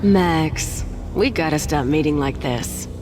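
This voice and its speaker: A woman's voice: low voice, takes it slowly, music in the background. An actress voicing a cool, wry female assassin with a teasing, laid-back manner. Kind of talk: video game cutscene acting.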